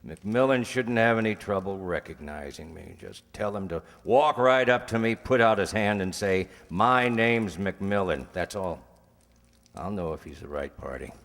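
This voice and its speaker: A low, animated male crook acted by a man from a radio crime play. He is confident and calculating, spelling things out with oily courtesy in a reverberant hall. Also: creaky, full character voice. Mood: precise, controlled. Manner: deliberate, insistently precise, oily courtesy. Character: smooth, confident drug dealer, polite and calculating. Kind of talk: 1950s crime radio drama re-enactment.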